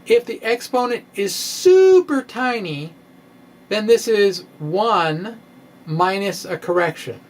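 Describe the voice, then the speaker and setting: A middle-aged man gives an informal, theatrical science monologue in a halting, quirky storytelling manner. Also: high-pitched. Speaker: science vlogger, amateur physicist. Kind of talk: informal dramatic blog reading, explanatory monologue. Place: indoor room with bookshelves. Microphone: microphone on stand, close in front.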